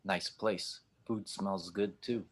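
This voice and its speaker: Man playing a husky, low, sardonic space rogue. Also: steady tone, slow pace. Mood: dry, sarcastic. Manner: sardonic, blunt, suspicious. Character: skeptical, wisecracking, brash spacefaring gunslinger. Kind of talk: fan audio drama table read.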